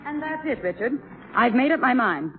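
Cool high-voiced young wife. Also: female voice, sing-song, moderate pace, over music. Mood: restless, resolved. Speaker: actress in radio drama. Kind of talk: 1950s radio drama acting. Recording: muffled, band-limited 1950s radio recording.

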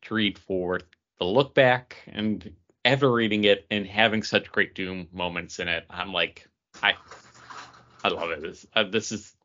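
A man's voice: low voice, measured pace, pushed and bright, dull audio. A man co-hosting a podcast, chatting casually about comic books in an enthusiastic, rambling way. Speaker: podcast co-host. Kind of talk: casual comic book review chat.

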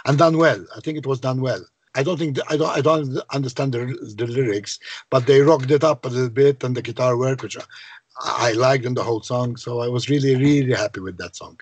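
A man from Malta with a low voice, talking about his songwriting in a casual interview, often hesitating and repeating words. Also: fairly even intonation, fairly quick, slightly rough, dry recording. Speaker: songwriter guest.

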